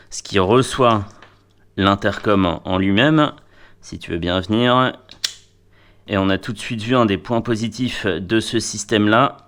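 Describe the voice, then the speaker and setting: A man's voice: fairly low pitch, fairly bright tone. A man giving a casual hands-on product review, playful and wry, often talking to himself and to the gear.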